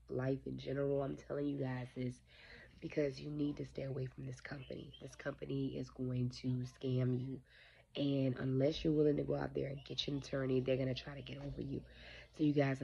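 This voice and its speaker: Stuffy-nosed woman in her 40s ranting to her phone cam, dark flat voice. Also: very deep voice, gravelly, slightly rough, dull audio. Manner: blunt, indignant, addresses viewers directly. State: congested, sniffling. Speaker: vlogger. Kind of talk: casual talk to camera. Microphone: phone held close.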